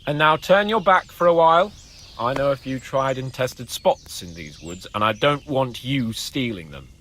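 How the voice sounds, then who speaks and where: A man's voice: mid-range voice, expressive, moderate pace, noisy background, lightly acted. Man acting a haughty, swooping young lordling. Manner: pompous, mocking, theatrical exclamations. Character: haughty, boastful, playful young medieval nobleman. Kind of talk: video game cutscene acting.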